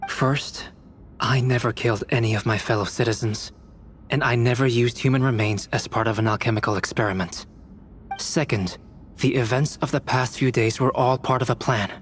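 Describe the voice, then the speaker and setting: An actor playing a composed young alchemist knight in a video game, speaking in a measured, formal way. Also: male voice, mid-range voice, steady tone, a little hiss or hum, lightly acted. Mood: calm explaining.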